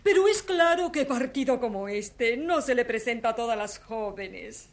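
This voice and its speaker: A woman's voice: fairly high pitch, expressive, normal speed. An actress playing a dignified, practical noble mother in a children's audio storybook, genteel in manner. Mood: advising.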